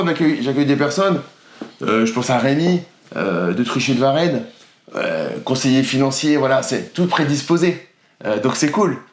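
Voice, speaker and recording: A man hosting a casual podcast interview, asking rambling and hesitant questions with frequent stammers. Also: on the high side. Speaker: podcast host. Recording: roomy echo.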